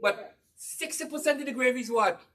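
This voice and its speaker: A man from Guyana with a Guyanese accent, giving a lively cookware demonstration talk to an audience, quizzing them and repeating his points. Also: very high voice, brisk, recorded from a distance, slight background noise. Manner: energetic, asks the audience questions and repeats key phrases. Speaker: cookware presenter at a church gathering.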